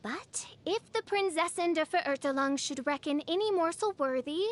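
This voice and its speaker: High-voiced, pompous young princess. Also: female voice, moderate pace. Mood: grandiose. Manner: pompous, archaic, theatrical speech. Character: grandiose self-styled teenage princess, theatrical and haughty. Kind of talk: scripted video game character voice lines.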